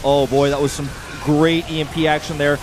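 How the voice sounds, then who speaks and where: A young man casting a strategy game live, excitable and rising sharply when the fighting gets big. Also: on the high side, normal speed, background noise. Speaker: esports caster. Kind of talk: play-by-play commentary. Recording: commentary over loud game music.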